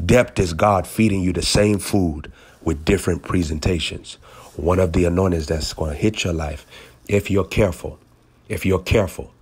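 Deep, husky male preacher. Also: a little mellow. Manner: deliberate, aphoristic, repeats phrases for emphasis. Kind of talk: prophetic teaching over a phone call.

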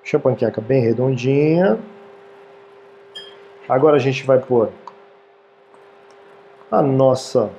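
A man's voice: mid-range voice, takes it slowly, pauses often, mellow, dull audio, slight background noise. A man in his thirties hosting a casual cooking demonstration in a small kitchen, chatty and friendly, often checking in with viewers. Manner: friendly, chatty, keeps asking "tá, gente?". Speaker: cooking show host. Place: small home-style studio kitchen, indoors.

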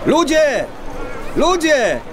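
An actor playing an eager, confident commoner with an excitable manner in an audio fairy tale. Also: male voice, extremely high pitch, extremely slow, noisy background, exaggerated voice. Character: eager, confident commoner volunteering to banish ghosts.

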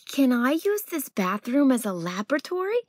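A woman voicing an eager, curious young boy scientist in a storybook, with a wondering, excitable manner. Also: sits high, moderate pace, no room sound, voice pushed up. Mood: pleading.

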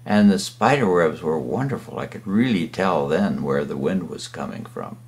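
A man's voice: rumbling low, animated, moderate pace. An older man, a painter and sailor, casually reminiscing as he narrates a slideshow in a rambling way. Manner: rambling, with fillers and restarts.

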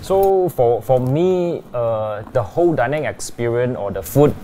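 A man in his forties, a chef, calmly describing dishes over dinner in a restaurant. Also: medium pitch. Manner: measured, descriptive tasting comments. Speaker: chef guest. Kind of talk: casual dinner conversation. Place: upscale restaurant dining room.

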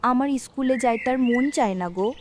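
A woman's voice: a little high, brisk, close to an everyday voice. A woman with a rural Bangladeshi accent playing a sensitive little village girl in a cartoon, childlike and plaintive. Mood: troubled. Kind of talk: cartoon voice acting. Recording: faint background noise with birdsong.

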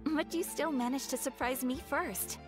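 A high, soft-spoken young noblewoman in a game, polite and refined, voiced by a woman. Game music plays clearly beneath her. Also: normal speed, close to an everyday voice. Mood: gentle.

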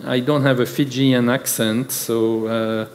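A man's voice: mid-range voice, little pitch movement, a little slow, a little mellow. A man moderating a conference panel, speaking with many fillers and restarts. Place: large conference hall with audience. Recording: reverberant conference hall.

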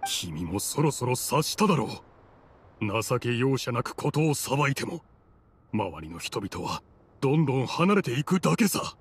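A man's voice: mid-range voice, very animated, a little slow, a little hiss or hum. An actor playing a weary, remorseful old man in a Japanese game, speaking in a reflective, resigned manner. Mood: bitter. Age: older.

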